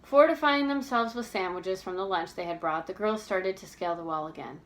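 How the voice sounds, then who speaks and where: A woman's voice: medium pitch, fairly quick. Woman narrating a novel aloud. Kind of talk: expressive storybook narration.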